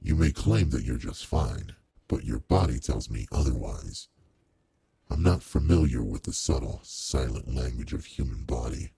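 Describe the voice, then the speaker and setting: A man voicing a commanding, old-fashioned demon lord in a roleplay audio drama, speaking formally and with measured authority to one servant, with quiet care underneath. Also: lively intonation, slight background noise, exaggerated voice. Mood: probing, concerned. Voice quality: very deep, gravelly, husky.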